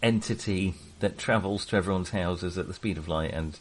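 A man chatting casually about films as a podcast co-host, in a halting, rambling manner. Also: sits low. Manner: halting, rambling, often chuckling. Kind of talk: casual film discussion.

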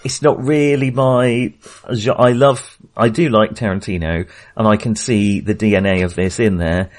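A man's voice: on the low side, fairly even intonation, moderate pace. A man co-hosting a film podcast in casual conversation, tentative and understated, often qualifying what he has just said.

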